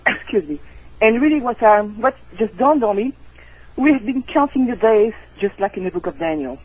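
A woman with a French accent, a native French speaker, calling in as a guest author on a radio interview and explaining things earnestly and excitedly. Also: fairly high pitch, moderate pace, slightly rough, no room sound. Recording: tinny phone line.

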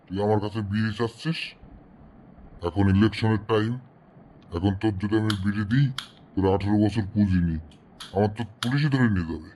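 A man's voice: fairly low pitch, a little fast, pauses often, mellow, a little hiss or hum, natural voice. A man voicing an indignant old grandpa who scolds reproachfully in a comic cartoon. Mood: scolding, suspicious. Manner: scandalised, reproachful.

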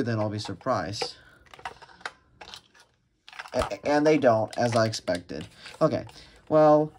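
A man's voice: mid-range voice, darkish tone. A man giving a casual, hesitant, rambling unboxing commentary, often restarting his sentences. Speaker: vlogger unboxing radios. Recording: noisy home recording with handling clicks.

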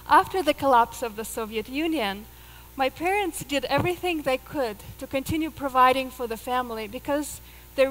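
Russian-accented woman, high voice, storytelling. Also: in a large hall, low-quality recording. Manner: earnest, personal storytelling with light laughs. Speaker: speaker. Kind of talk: live stage talk. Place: large theater stage. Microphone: headset.

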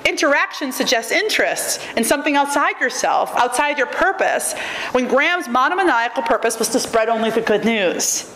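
A woman in her thirties giving a keynote lecture, reading a prepared academic text at a podium microphone in a large auditorium with a measured, wry, emphatic delivery. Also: high voice, big pitch swings, a bit bright. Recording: echoey auditorium, faint room noise.

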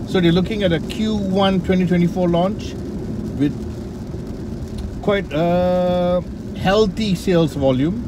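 Man over loud car noise. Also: high voice. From Malaysia. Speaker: car reviewer and test driver. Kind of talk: casual driving commentary. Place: inside a moving car on a wet track. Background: loud car and road noise.

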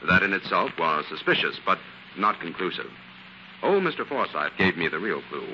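Casting: assured 1940s radio detective, low and forceful, faintly noisy vintage sound. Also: male voice, big pitch swings, moderate pace. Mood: assured, explaining. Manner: authoritative, explanatory. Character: assured male scientist detective explaining a solved case. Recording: dull, hissy 1940s radio recording.

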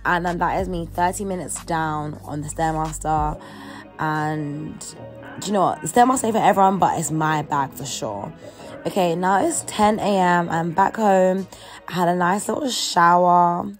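A woman's voice: lowish voice, moderate pace, soft background music. A woman in her early twenties with a London accent, chatting casually in a slangy, playful way as she narrates a vlog. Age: early 20s. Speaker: vlogger.

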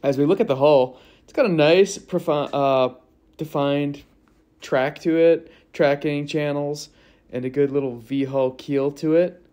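A man's voice: fairly high pitch, fairly even intonation, measured pace, warm tone. A man narrating a walkthrough of a kayak's features in an easygoing manner, listing them in short phrases. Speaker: kayak reviewer.

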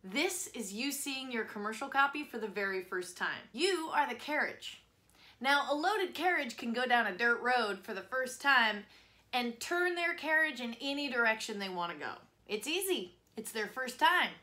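A woman in her thirties giving scripted instructional advice to camera as a voice-over coach, in an expressive presenter's delivery. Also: fairly high pitch, normal speed. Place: small home studio, indoors.

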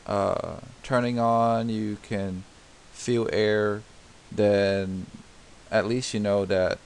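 Gravelly man explaining step by step. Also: fairly low pitch, flat delivery, very slow. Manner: explanatory, many fillers and restarts. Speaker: DIY home repair tutor. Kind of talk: unscripted screen-recorded tutorial narration. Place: voice-over on a diagram video.

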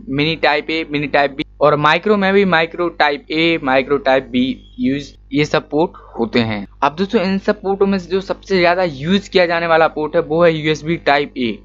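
Fairly high-voiced male tutor, lo-fi. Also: a bit bright. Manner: explanatory, often repeating "friends". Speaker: electronics teacher. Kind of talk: YouTube tutorial voice-over. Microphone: voice-over. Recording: dull lo-fi recording.

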